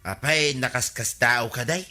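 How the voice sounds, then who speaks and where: Natadem ti timekna a managsutil a lalaki. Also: male voice, mid-range voice, a little slow, pushed and bright. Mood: teasing, incredulous. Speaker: radio drama actor. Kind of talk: comedic Ilocano radio drama acting.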